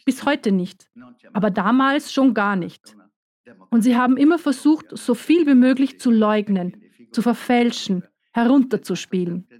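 Warm, higher-voiced woman in voice-over. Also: expressive, a little slow. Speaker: voice-over speaker. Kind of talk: first-person documentary voice-over.

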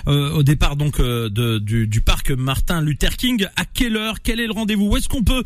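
A man hosting a morning radio show, jokey and hesitant, filling pauses with many fillers. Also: fairly high pitch, dry recording. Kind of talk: casual radio interview.

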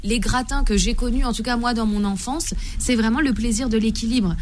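A woman's voice: fairly high pitch, brisk, a little hiss or hum. A young woman, a cookbook author, chatting casually on a radio show and laughing easily. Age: young adult.

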